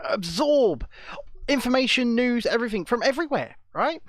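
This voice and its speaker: A man's voice: very high-pitched, animated, normal speed, a bit bright, no room sound, studio quality. A man co-hosting a casual podcast, speaking earnestly with frequent restarts. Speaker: podcast co-host. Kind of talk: casual chat.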